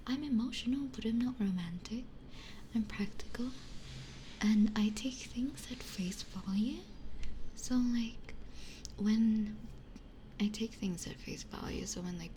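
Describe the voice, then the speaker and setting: A woman's voice: mid-range voice, warm tone, slight background noise. A woman streamer chatting casually in an ASMR style, blunt and giggly, close to a binaural microphone. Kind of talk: whispered ASMR casual chat.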